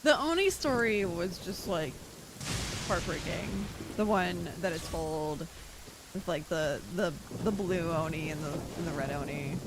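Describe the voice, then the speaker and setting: A woman's voice: fairly low pitch, lively intonation, a little slow, very noisy. A woman game streamer chatting casually and reacting as she plays, giggly and informal. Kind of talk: casual reaction chat while playing a game. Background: faint game music.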